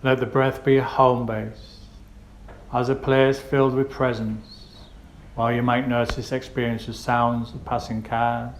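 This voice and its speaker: A man leads a guided meditation, giving gentle, unhurried instructions with pauses between phrases. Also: medium pitch, level tone. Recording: roomy echo with faint background noise.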